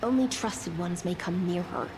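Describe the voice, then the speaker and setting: A woman's voice: lowish voice, normal speed, noisy background, close to an everyday voice. An actress playing a composed, stern female imperial guide in a video game, formal and controlled in her speech. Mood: stern, instructive. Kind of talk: dramatic video game voice acting. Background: music.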